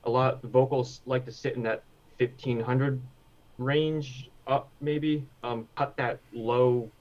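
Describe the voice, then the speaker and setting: Male guest, relaxed and unhurried, dull lo-fi sound. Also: medium pitch. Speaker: panel guest. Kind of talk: casual panel discussion.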